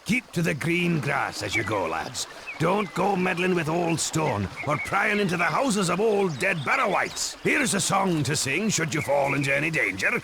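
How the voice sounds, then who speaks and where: An actor playing a merry, sing-song old forest dweller in a fantasy video game, with theatrical, lilting rhymes. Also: male voice, a little high, sing-song, moderate pace, gravelly, background noise. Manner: lilting, rhyming, theatrical delivery.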